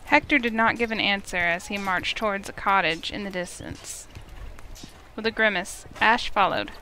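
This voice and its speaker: Casting: woman narrator, mid pitch, reading visual novel prose as a game streamer, slightly noisy recording. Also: normal speed, bright, forceful tone. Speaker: streamer, reader.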